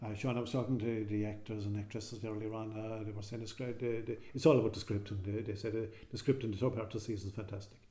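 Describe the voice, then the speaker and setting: A man's voice: fairly low pitch, little pitch movement, quick pace, hardly any pauses, mellow, reverberant. A man interviewing actors, asking questions with many stumbles and restarts. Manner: stammering, repeated restarts.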